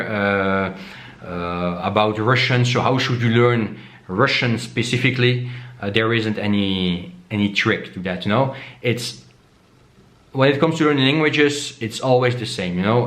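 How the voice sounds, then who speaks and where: A man whose first language is Dutch, casually talking to camera as a vlogger, often asking "okay?" and filling pauses with "uh". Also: fairly low pitch, a bit bright, echoey room. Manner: repeats himself and tags "okay?" often, many "uh" fillers.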